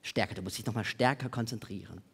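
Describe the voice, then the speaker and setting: A man in his forties presenting a live magic act on a theatre stage, with playful, showmanlike patter and deliberate pauses. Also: lowish voice, gravelly, slightly rough. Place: large theatre stage with seated audience. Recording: music playing behind the voice. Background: music.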